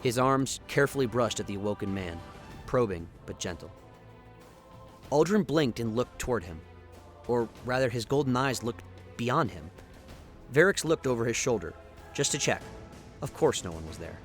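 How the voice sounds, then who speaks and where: A man's voice: mid-range voice, lively intonation, moderate pace, long pauses, soft background music. A man reading dramatic scripted narration for a sci-fi radio play, as the storyteller.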